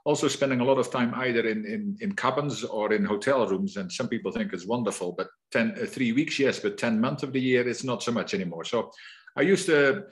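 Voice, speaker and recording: Man, middle-aged, Dutch accent, mid pitch, reflective, restarts often, remote interview guest. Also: a little fast. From Netherlands. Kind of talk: conversational interview.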